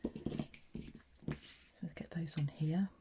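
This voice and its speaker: A woman's voice: sits low, steady tone, slow pace, background noise. A woman from England, chatting casually while she demonstrates card making and narrates her own steps. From southeast England. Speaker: papercraft demonstrator. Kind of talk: casual craft tutorial chat.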